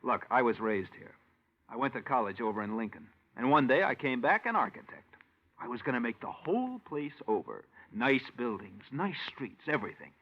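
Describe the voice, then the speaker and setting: Bitter, weary architect, mid-pitched. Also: male voice, sing-song, moderate pace. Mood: weary, sardonic. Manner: weary, cynical, theatrically eloquent. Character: bitter, beaten, sardonic male small-town architect. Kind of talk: 1950s radio acting. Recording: dull old radio recording.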